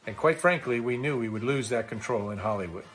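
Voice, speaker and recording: Mid-pitched man in a promo. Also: fairly quick, a bit bright, soft background music. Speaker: campaign presenter and film maker. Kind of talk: scripted promotional narration.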